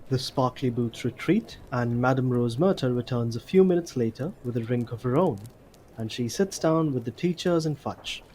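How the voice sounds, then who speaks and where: Male story narrator, mid-pitched. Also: lively intonation, moderate pace, a little mellow, low-quality recording. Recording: faint background noise.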